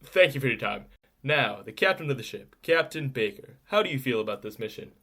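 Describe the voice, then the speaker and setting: A man's voice: medium pitch, expressive, a little fast, bright, forceful tone. A male news reporter, played by an actor in an audio drama, with a mid-range voice. He presents politely in broadcast style and is a little flustered with interviewees. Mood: hesitant, polite.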